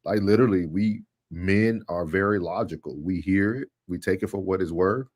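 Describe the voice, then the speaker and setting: A man's voice: low voice, moderate pace, darkish tone, no room sound, studio quality. A man co-hosting a casual live discussion, giving his opinions emphatically and restating his points. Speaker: co-host of a live stream.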